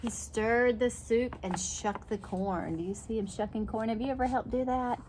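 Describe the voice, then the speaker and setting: A woman reading a children's picture book aloud as a preschool teacher, animated, with asides and questions to young listeners. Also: a little high, normal speed, a little hiss or hum.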